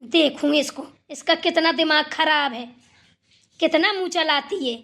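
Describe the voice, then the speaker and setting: A bossy old village granny from a cartoon, played by a woman. Her voice is very high and sharp as she grumbles and orders the household about. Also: a little slow, vocal fry, full character voice. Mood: annoyed. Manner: complaining, bossy. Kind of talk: Hindi family cartoon dialogue.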